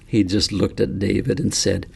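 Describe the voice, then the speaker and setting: Creaky-voiced man narrating a story. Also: fairly low pitch, flat delivery, normal speed, a little mellow. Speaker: author reading his novel.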